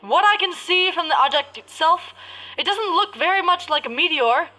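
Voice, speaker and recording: A woman playing a flustered, halting male news reporter in a parody of old radio drama. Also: very high voice, lively intonation, bright, forceful tone, faint music behind, exaggerated voice, low-quality recording. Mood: awed. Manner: halting, exasperated live commentary.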